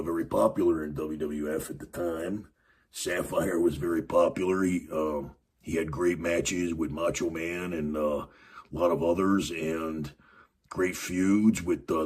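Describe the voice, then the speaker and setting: A man with a raspy, extremely deep voice hosts a podcast on his own. He talks in a loose, rambling way, full of "you know" and "uh". There is a breathy roughness to his tone. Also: darkish tone. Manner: rambling, many fillers and restarts. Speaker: podcast host. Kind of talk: casual wrestling commentary monologue.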